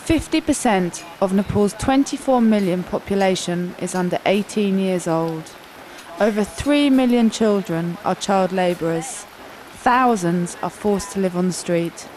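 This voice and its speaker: A woman narrates a documentary in a solemn, measured voice of middling pitch. She delivers short, deliberate phrases. A clear hiss of noise sits under the recording. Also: darkish tone, low-quality recording. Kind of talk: scripted narration.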